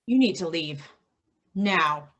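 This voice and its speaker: A woman's voice: fairly low pitch, expressive, slow pace, fairly bright tone, a little hiss or hum. A woman playing an indignant, commanding vigilante who accuses others, in a staged play reading.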